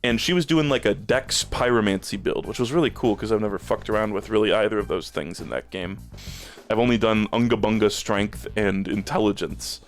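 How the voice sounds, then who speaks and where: A man's voice: fairly low pitch, fairly quick. A man streaming a game, rambling in casual chat with plenty of swearing and fillers, a bit phlegmy in the throat. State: a little phlegmy.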